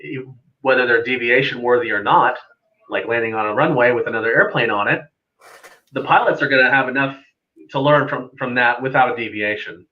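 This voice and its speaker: Easygoing guy, air traffic controller chatting on a livestream, bit dull lofi sound, middle-pitched voice. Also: a little fast. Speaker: air traffic controller, live stream guest. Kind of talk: casual unscripted explanation with anecdotes.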